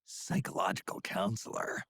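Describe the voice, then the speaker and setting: An actor playing a gruff, world-weary older male soldier in a video game, husky and terse, often half-whispering in a growl. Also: moderate pace, fairly bright tone, dry recording, lightly acted. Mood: suspicious. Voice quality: very gravelly, husky. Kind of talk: dramatic video game voice acting.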